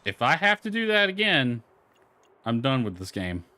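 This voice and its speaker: Male gamer, mid-pitched, casual and grumbly self-talk commentary over music. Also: big pitch swings, normal speed, pushed and bright. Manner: grumbling, mild swearing. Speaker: gamer streaming or recording gameplay. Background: background music.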